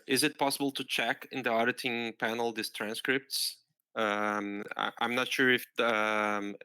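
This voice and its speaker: A man on a video call reads audience questions to the presenter in a relaxed, easygoing manner. His voice is bright and sharp-edged, and it comes through smooth and crisp. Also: mid-range voice, no room sound, rough recording. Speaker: mentor moderating questions. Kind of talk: online Q&A moderation.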